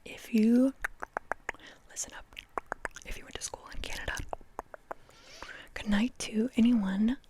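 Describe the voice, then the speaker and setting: A woman's voice: fairly high pitch, steady tone, unhurried, pauses often. A young woman whispering close to the mic in a playful, chatty ASMR sleep talk, often repeating words. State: dry mouth. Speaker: ASMR host.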